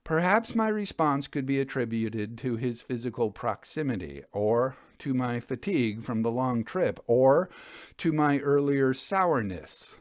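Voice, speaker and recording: A man's voice: mid-range voice, animated, unhurried, no room sound, studio quality. A man reading scripted first-person literary narration as a storyteller.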